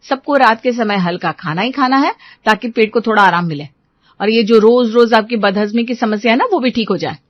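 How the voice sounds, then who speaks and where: A woman's voice: a little high, fairly quick, no room sound, lo-fi sound, lightly acted. A woman voicing a strict, fed-up young daughter-in-law, firm and lecturing, in a family story.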